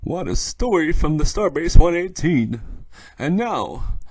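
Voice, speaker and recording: A male host presents a scripted podcast in a lively, swooping, slightly high voice. He reacts in a playful, mock-naive way, and the recording is close and dry. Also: measured pace, breathy edge, no echo. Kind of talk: light scripted presenting of a fictional news show.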